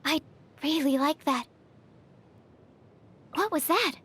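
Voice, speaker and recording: A woman's voice: sits high, lively intonation, slow, a bit bright, full character voice. A woman voicing a shy, earnest, bookish young female alchemist in a video game, speaking politely and hesitantly. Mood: earnest, curious. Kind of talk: video game character voice lines.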